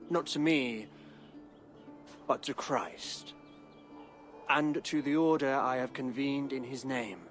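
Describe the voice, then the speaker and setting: A dignified, pious king , played by an actor, speaks very slowly and with formal care. He sounds thoughtful and regal, in cinematic game style, over clearly audible music. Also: medium pitch, lightly acted. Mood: earnest, persuasive. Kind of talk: dramatic video game acting. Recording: music under the voice.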